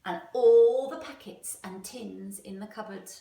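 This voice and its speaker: A woman's voice: mid-range voice, a little slow, vocal fry, dark tone. A woman teacher reading a storybook aloud to young children, expressively and with questions for them. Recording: roomy echo, distant microphone.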